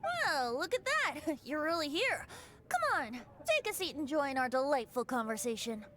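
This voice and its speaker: A woman's voice: high-pitched, sing-song, moderate pace, fairly bright tone, soft background music. A woman voicing a breezy, theatrical young male bard in a game, playful and flowery in speech. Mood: welcoming. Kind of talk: game character voice acting.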